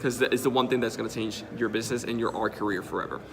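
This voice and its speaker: Brief: quick-talking Dominican-accented marketing host, emphatic and punchy, crowd chatter behind. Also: male voice, mid-range voice. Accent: Dominican Spanish. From Dominican Republic. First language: Spanish. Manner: energetic, emphatic, repeats key points. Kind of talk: conversational coaching.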